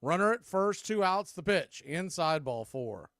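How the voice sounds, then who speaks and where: A man's voice: a little high, expressive, measured pace, a bit bright, clean tone, no room sound, clean studio sound. A man working as a baseball radio announcer, calling the game live in short, clipped phrases. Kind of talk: live baseball play-by-play commentary.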